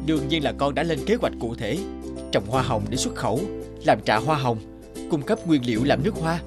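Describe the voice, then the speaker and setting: A man's voice: mid-range voice, animated, normal speed, lightly acted. A man playing an earnest, determined young farmer son in a cartoon, explaining things in earnest. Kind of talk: animated cartoon dialogue. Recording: background music under speech.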